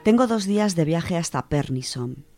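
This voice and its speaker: A woman from co-hosting a radio show, chatting casually and reading a story, animated, with many fillers. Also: lowish voice, moderate pace, over loud music. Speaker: radio co-host presenting a literature section.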